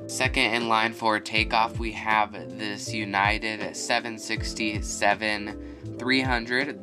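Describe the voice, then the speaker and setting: A man who is feeling a bit sick, enthusiastically narrating a walkthrough of his model airport and listing each aircraft. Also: fairly low pitch. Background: guitar music.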